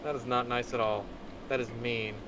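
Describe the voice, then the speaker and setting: A man chatting casually as a guest commentator, halting and agreeable. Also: mid-range voice. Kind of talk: casual chat. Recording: noisy mic with steady background hum.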